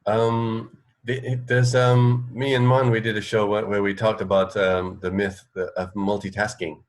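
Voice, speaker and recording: Low-voiced man chatting on a radio show. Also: little pitch movement, normal speed. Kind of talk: casual chat. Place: indoor room, video call.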